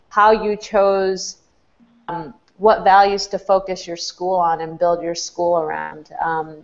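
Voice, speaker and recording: A woman hosting a panel chat, chatty and giggly as she asks questions. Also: lowish voice. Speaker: host. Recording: dull lo-fi sound.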